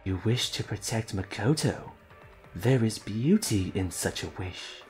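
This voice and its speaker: Solemn, enigmatic young man played by an actor, low and slow, theatrical anime dub. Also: expressive, darkish tone, soft background music. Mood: grave. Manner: measured, theatrical. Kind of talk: anime fan dub acting.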